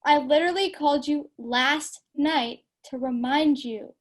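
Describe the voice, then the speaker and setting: A woman's voice: high-pitched, voice pushed down. A young woman as an exasperated, let-down friend. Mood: reproachful. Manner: slow, pleading, sulky. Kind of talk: amateur audio drama.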